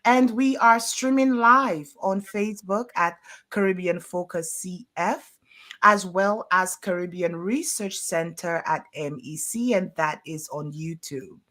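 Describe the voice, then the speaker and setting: A woman hosting a community radio interview, speaking in a formal, deliberate, courteous way. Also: fairly low pitch, fairly bright tone. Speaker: radio show host.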